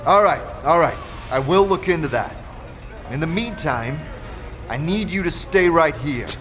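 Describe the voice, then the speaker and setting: A man's voice: a little high, expressive, a little slow, a bit bright, voice pushed up. A man playing a firm, blunt adventurer questioning people in a fantasy audio drama. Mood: commanding.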